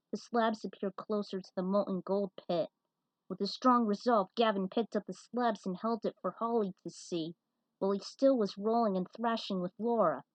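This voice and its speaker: A woman's voice: mid-range voice, no echo. A woman narrating fan fiction in an amateur, dramatic story-reading style. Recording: dull, muffled lo-fi audio.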